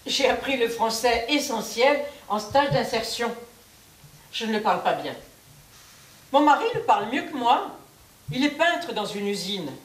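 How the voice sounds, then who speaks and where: A woman performer giving a measured theatrical recitation of testimonies on a stage. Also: fairly high pitch, lively intonation. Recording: echoey stage, distant mic, faint noise.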